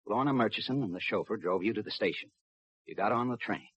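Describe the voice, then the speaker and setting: An actor playing a steady, businesslike railroad police lieutenant who also narrates in a 1950s radio drama. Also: male voice, fairly low pitch, quick pace, husky, no room sound. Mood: probing. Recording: dull, lo-fi 1950s radio recording.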